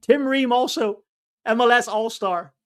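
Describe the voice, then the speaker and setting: A man co-hosting a live soccer watch-along, chatting casually and venting blunt opinions, with a mixed, hard-to-place accent. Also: very high voice. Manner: blunt, opinionated, quick to vent frustration. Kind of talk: casual live-stream match commentary and chat.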